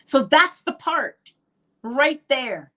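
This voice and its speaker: A woman's voice: high voice, expressive, very slow, fairly bright tone. Emphatic Barbadian woman teaching spirituality. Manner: emphatic, rhetorical questions, acted quotes. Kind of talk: monologue on manifestation.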